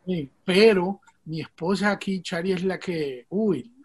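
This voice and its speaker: A man's voice: high-pitched, measured pace, breathy edge. A man, born in Venezuela and raised in Rico, hosting the conversation and telling a story in an earnest, heartfelt way. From Venezuela, raised in Puerto Rico. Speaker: host.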